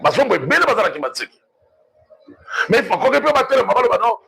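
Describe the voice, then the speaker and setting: A man's voice: extremely high pitch, big pitch swings, fast, creaky, pushed and bright, breathy edge, soft background music. A Congolese man arguing a political point emphatically, switching between languages, repeating words and posing rhetorical questions. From Democratic Republic of the Congo. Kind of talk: impassioned political argument, mixing languages.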